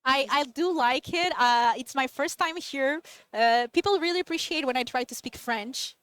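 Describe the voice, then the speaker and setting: Woman, native portuguese speaker, stream co-host, upbeat, high, dry close sound. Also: normal speed, fairly bright tone, no echo. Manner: upbeat, talks to chat. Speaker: event co-host. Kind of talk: casual live stream chat.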